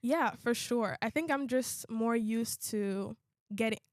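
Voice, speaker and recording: A young woman in her twenties, born in Uganda, chats casually about her life as a student. Her voice sits a little high, and she talks in a loose, relaxed way with many "um"s and repeated phrases. The sound is dry and close. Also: slow pace, dry recording. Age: 20s. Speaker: international student, host. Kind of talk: casual conversation.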